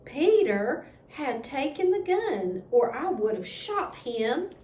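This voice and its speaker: Storybook farm wife woman narrator performing, frightened, breathless and emphatic, raised pitch, echoing room. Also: very animated, takes it slowly, mellow, exaggerated voice. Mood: firm. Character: frightened farm wife recounting a wild-animal scare. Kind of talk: children's storybook read-aloud. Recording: echoey room with background noise.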